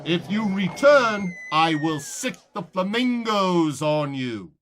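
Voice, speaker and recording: A man acts out a theatrical doll emcee for a puppet film, high in pitch and swooping widely. He is folksy and showy, a host who keeps order with stagey authority. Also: big pitch swings, slow, heavy background noise, exaggerated voice. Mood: stern, commanding. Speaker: event host.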